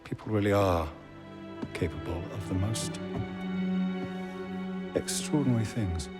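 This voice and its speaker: An actor playing an urbane, calm tech creator with veiled menace in a video game, speaking in a measured, formal, probing way. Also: male voice, lowish voice, over loud music, lightly acted. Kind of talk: video game cutscene acting.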